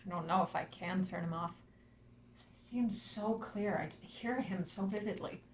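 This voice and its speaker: An actress playing an earnest, emotional adult daughter in a screenplay table read. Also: female voice, mid-range voice, measured pace, natural voice. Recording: roomy echo, faint hum.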